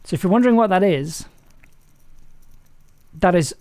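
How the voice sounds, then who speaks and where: A person casually talking through audio editing work on a livestream, halting and trailing off while thinking aloud. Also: mid-range voice, animated, a little mellow, dry recording. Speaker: audio drama maker streaming the editing process.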